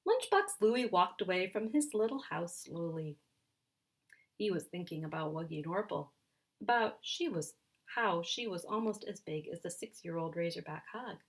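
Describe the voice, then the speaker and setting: A woman reading a children's story aloud in an animated, expressive and emphatic way. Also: fairly low pitch, normal speed. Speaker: children's story reader, speech and language pathologist.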